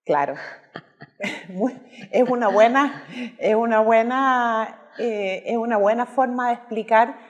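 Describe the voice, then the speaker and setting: Chilean woman, 50s, guest; slightly high voice, steady explanatory delivery. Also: lo-fi sound. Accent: Chilean Spanish. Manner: measured, explanatory, tagging points with "¿verdad?". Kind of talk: political discussion.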